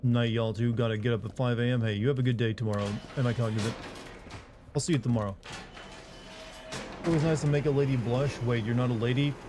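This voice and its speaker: A man live-streaming a horror game, reacting loudly with swearing when he dies and casually thanking viewers in between. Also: mid-range voice, steady tone, fast, long pauses, soft background music.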